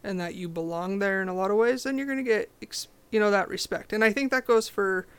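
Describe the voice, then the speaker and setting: A young woman with a low voice, talking as a podcast guest in a direct, confident, casual way. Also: fast, smooth. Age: young adult. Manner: confident, direct, animated storytelling. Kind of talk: casual interview chat.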